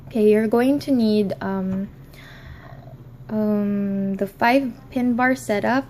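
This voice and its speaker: A woman's voice: medium pitch, creaky, a little mellow, slight background noise. A young woman hosting a crafting tutorial, talking hesitantly with many ums and apologies, recorded in an office. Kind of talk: casual how-to tutorial.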